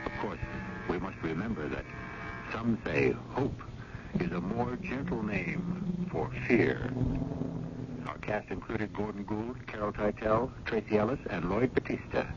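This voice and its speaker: A man hosting and narrating a 1970s radio mystery series, speaking in a measured, theatrical way with dramatic pauses. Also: on the low side, lively intonation, noisy background. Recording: muffled old radio broadcast. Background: prominent music.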